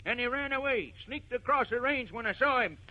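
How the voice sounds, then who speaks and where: An actor playing a blunt, accusing, hard-headed sheep rancher in a 1950s radio drama. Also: male voice, very high-pitched, lively intonation, brisk. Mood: hostile, determined. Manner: blunt, sneering, confrontational. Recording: muffled, noisy old radio recording with crowd.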